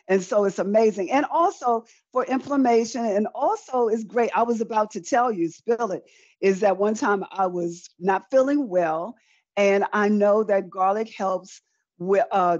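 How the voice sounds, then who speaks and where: A woman's voice: mid-range voice, darkish tone, no room sound. Middle-aged woman demonstrating, dull call audio. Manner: chatty, repeats phrases for emphasis. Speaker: cooking demonstrator. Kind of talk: live online cooking demonstration, conversational teaching. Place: indoor room with folding screen, demo table.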